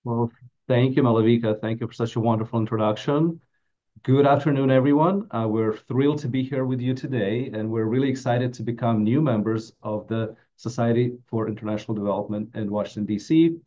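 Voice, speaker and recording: A middle-aged man with a mellow voice, giving a prepared webinar presentation as a financial advisor. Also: medium pitch, brisk. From Latvia. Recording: dull, muffled webinar audio.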